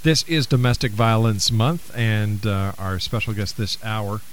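A man hosting a live radio talk show, briskly reading out stations, phone numbers and a sponsor message, with small fillers. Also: lowish voice, steady tone, slight background noise.

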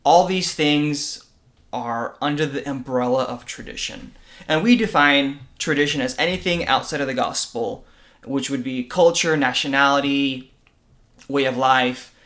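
A man's voice: mid-range voice, a little slow, bright, forceful tone. A man co-hosting a podcast, explaining faith and church tradition in an earnest conversational way, often checking in with "right?". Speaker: podcast co-host.

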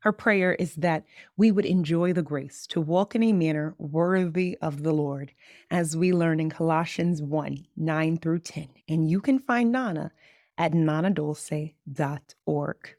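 A woman's voice: low voice, measured pace, no room sound, studio quality. A woman hosting a faith podcast, chatting in an effusive way and often saying y'all. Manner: warm, effusive, says y'all a lot. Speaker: podcast host. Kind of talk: casual podcast chat.

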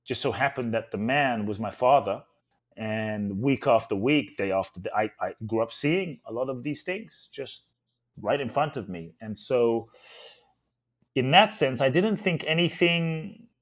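A man's voice: mid-range voice, lively intonation, measured pace. A man raised in London, a guest speaker on a podcast, telling his life story in an earnest and reflective way. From Ghana, raised in London.

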